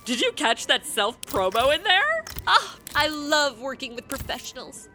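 A woman plays a brash influencer publicist in a radio comedy. Her voice is high, bright and animated, and she talks in a breezy, sardonic way over faint music. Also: measured pace, heavily acted. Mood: smug. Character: brash, self-promoting young publicist, an influencer. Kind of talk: comic radio play acting.

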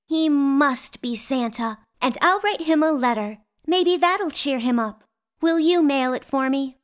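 A woman's voice: sits high, measured pace. An actress playing an earnest, precocious eight-year-old girl in a radio play, polite and precise.

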